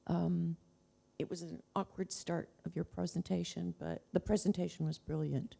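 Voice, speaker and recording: A woman's voice: on the low side, flat delivery, normal speed, creaky, warm tone. A woman science advisor asking an informal question from the conference floor.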